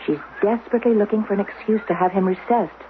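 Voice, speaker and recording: A woman's voice: medium pitch, fast talker, narrow, tinny audio, close to an everyday voice. An actress playing a shrewd, probing rebel wife guarding her son, with direct, coaxing delivery in a radio drama. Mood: grimly worried. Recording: noisy, band-limited 1970s radio broadcast.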